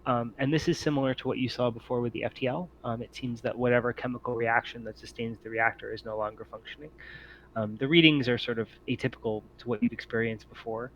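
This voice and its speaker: Low-voiced man running a tabletop game. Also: fairly even intonation, fast talker, fairly bright tone, lo-fi sound. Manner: informal, explanatory. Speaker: game master. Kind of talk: narrating a tabletop role-playing game.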